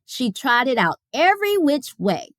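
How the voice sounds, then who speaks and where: Woman reading a kids picture book, lively swooping pitch, takes her time, careful phrasing. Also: a little slow, no echo, clean studio sound. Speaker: read-aloud storyteller. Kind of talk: expressive children's picture book narration.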